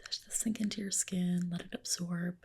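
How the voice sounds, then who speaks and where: A woman whispering softly and breathily in a soothing, reassuring ASMR roleplay as a dermatologist, close to the microphone. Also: on the low side, fairly even intonation, husky. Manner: soothing, reassuring, unhurried explanations.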